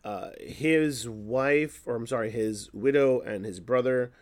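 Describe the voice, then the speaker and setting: A man hosts a casual commentary show in a slightly hoarse, mid-pitched voice. He talks conversationally and without a script, offering opinions and working through his thoughts out loud. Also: a little slow. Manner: conversational, opinionated, thinking aloud. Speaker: wrestling news podcast host.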